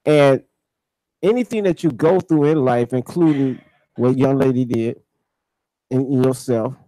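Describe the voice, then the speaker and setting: A man's voice: medium pitch, a little slow, dark tone, no echo, poor audio. A man hosting a radio talk show, speaking reflectively and repeating phrases for emphasis. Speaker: radio host.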